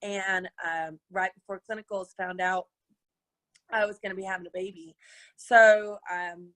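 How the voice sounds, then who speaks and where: Raspy-voiced bright woman guest. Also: mid-range voice, measured pace. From Colorado, USA. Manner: energetic, laughs often, many fillers. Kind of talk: casual interview chat. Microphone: webinar video call.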